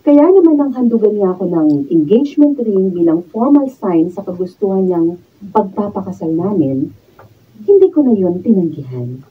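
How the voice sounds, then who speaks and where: A woman's voice: mid-range voice, sing-song, moderate pace, natural voice. An actress playing a sensible, earnest young woman engaged to marry, in a Filipino radio drama. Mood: reflective narration. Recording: distant, phone-like, slightly noisy studio capture.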